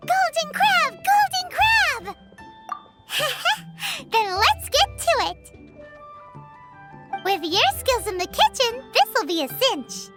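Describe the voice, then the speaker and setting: A woman voicing a tiny, childlike fairy companion in an anime game, squeaky, blunt and cheeky, with music behind her. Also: very animated, slow pace, long pauses, heavily acted. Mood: excited. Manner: refers to self in third person, cheeky. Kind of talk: English anime game voice acting. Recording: loud playful music behind.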